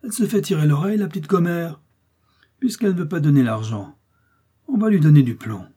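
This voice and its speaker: An impatient armed brigand voiced by a male narrator in an adventure storybook. He is angry and threatening, his husky voice pushed up high. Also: lively intonation, slow pace, fairly bright tone, full character voice. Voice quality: hoarse, angry.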